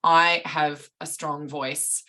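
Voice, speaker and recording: A woman's voice: sits low, fairly even intonation, slow, sharp tone. A woman, a public speaking coach answering podcast questions in a reflective, articulate way, sometimes restarting a sentence.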